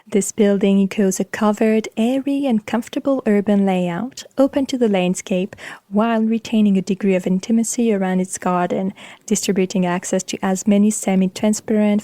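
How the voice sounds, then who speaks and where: Mellow-voiced woman reading scripted narration. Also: mid-range voice, no room sound. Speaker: podcast host.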